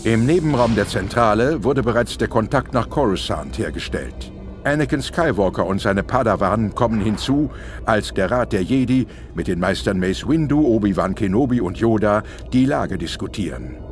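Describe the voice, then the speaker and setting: A man's voice: fairly low pitch, lively intonation, moderate pace. A man narrating a science fiction audio drama in a dramatic, scripted style. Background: orchestral music.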